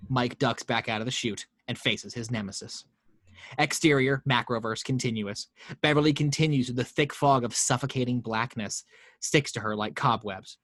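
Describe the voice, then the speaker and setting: A man's voice: mid-range voice, normal speed, dry recording. Man narrating a horror script reading. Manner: steady storytelling read with small slips. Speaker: narrator reading action lines. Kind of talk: table read narration.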